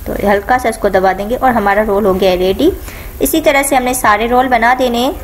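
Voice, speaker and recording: A woman hosting a home cooking channel, calmly talking viewers through each step of a recipe in a voice-over. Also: on the high side, brisk, soft background music. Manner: calm, instructive, repeats each step.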